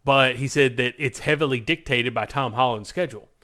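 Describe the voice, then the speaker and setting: A man's voice: mid-range voice, fairly quick, pushed and bright. A man co-hosting a podcast, chatting casually with an enthusiastic, emphatic delivery. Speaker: podcast co-host. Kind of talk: casual podcast chat about movies.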